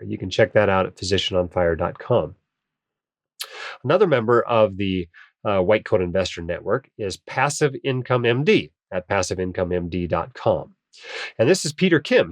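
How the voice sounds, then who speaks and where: A man's voice: lowish voice, no echo, clean studio sound. Man with a cold, slightly nasal. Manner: upbeat, chatty, frequent "uh" fillers. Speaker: podcast host. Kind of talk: casual podcast monologue and interview intro.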